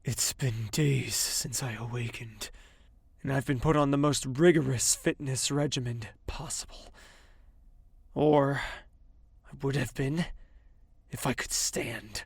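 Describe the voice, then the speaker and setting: An actor playing a weary, proud young prince in an audio drama, in both dialogue and introspective narration. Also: male voice, medium pitch, slow, gravelly, breathy edge, no echo, full character voice. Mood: weary, defensive.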